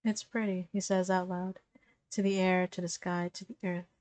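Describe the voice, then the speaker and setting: A woman's voice: lowish voice, flat delivery, moderate pace, a little mellow, dry recording. A woman reading a story aloud informally to friends, giggly and ready to break off and react. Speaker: fan fiction reader.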